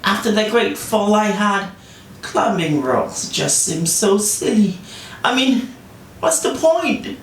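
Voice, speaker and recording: A woman's voice: medium pitch, expressive, slow pace. A woman voicing a sulky, dejected male egg in a children's bedtime story, dismissive and resigned. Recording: roomy, distant, faint noise.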